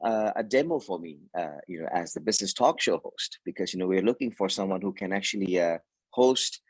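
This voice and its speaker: A middle-aged man from Thailand, a broadcaster whose first language is Thai, speaks in a fairly low voice. He hesitates often, repeating words and filling pauses as he talks. The sound is dry and close. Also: animated, husky, no room sound. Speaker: news anchor and TV/radio host. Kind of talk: relaxed interview answers.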